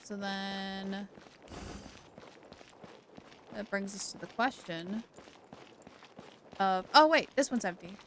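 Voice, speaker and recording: A woman's voice: mid-range voice, slow, long pauses, fairly bright tone, slight background noise. A woman streaming a game live, chatting to herself as she works out puzzles. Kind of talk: live gameplay commentary.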